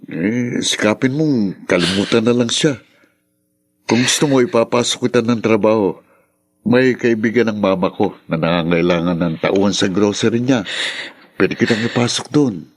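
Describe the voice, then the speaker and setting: A man acts a considerate young friend in a radio serial. His voice sits on the low side. He speaks gently and carefully, offering advice. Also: normal speed, lightly acted. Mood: sympathetic, tentative. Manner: gentle, tentative. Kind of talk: Tagalog radio drama acting.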